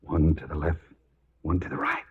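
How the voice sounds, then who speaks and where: A man's voice: very deep voice, little pitch movement, moderate pace. A man playing an uneasy young-generation outlaw on lookout in a 1940s radio western, terse and urgent. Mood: tense lookout. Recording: dull, very noisy 1940s radio recording.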